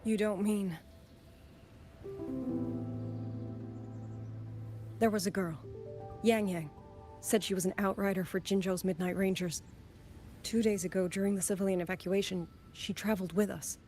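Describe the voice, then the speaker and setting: A woman playing a grave, weary young soldier and lone survivor in a video game, speaking in an earnest, measured, dramatic way. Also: medium pitch, fairly even intonation, a little mellow, natural voice. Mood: somber. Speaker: game character. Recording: music behind the dialogue.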